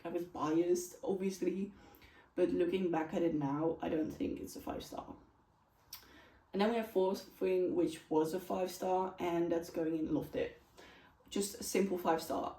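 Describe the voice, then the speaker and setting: A woman's voice: mid-range voice, warm tone. A woman who speaks English as a second language, chatting casually and candidly to camera as she reviews books. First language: not English. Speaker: book reviewer and vlogger. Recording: roomy echo, distant from mic.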